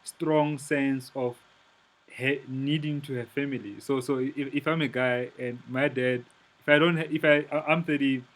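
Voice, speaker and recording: A man's voice: mid-range voice, fairly even intonation, normal speed, fairly bright tone. A man in his thirties from South Africa hosting an interview, asking personal questions hesitantly with many restarts. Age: 30s. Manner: hesitant, searching for words. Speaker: podcast host. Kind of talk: casual interview.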